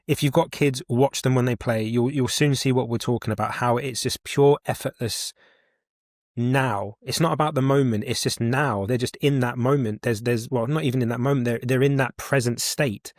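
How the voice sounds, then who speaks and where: A man's voice: mid-range voice, steady tone, normal speed, no echo, studio quality. A man in his forties hosting a podcast, talking casually and enthusiastically, often repeating his points for emphasis. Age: 40s. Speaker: podcast host.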